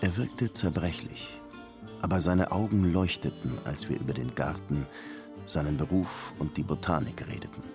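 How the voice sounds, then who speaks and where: A man's voice: extremely low pitch, normal speed. An actor playing a reflective detective who narrates his case in a radio drama, composed, literary and dryly ironic. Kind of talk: scripted first-person radio drama narration. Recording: music under the voice.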